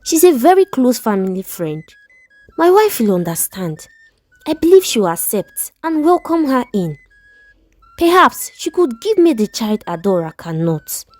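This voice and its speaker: A woman's voice: on the high side, very animated, measured pace, darkish tone, no echo. A woman voicing a middle-aged husband in a story, firm and matter-of-fact, with a Nigerian accent. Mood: hopeful.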